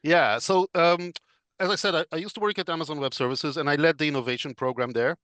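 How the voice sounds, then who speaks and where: A man, a guest on a podcast interview over a video call, explaining in a measured way with frequent fillers. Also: fairly high pitch, fairly bright tone, no room sound. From Israel. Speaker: podcast guest, business consultant. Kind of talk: casual interview chat.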